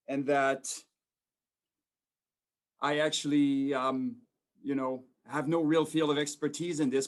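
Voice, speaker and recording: Rambling man in his forties on a video call. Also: mid-range voice. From Quebec, Canada. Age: 40s. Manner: rambling, many fillers and restarts. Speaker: police sergeant major speaking to students. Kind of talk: informal talk to a school audience.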